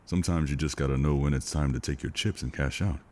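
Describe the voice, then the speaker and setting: Man acting stoic weary operative, extremely low voice, resigned, measured, faint background noise, audio drama. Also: fairly even intonation, darkish tone. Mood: calm, philosophical.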